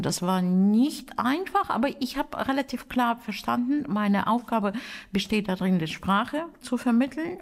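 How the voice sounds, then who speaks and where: A woman's voice: mid-range voice, creaky, darkish tone. A middle-aged woman from Russia with a Russian accent, a language teacher talking reflectively in an interview and searching for words.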